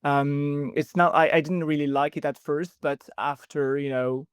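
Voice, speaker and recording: A young adult man from Belgium, a native French speaker, explaining things in a measured way with many pauses as a podcast guest. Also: a little high, fairly even intonation, smooth, dry recording, clean studio sound. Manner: measured, explanatory, with frequent ums. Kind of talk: podcast interview.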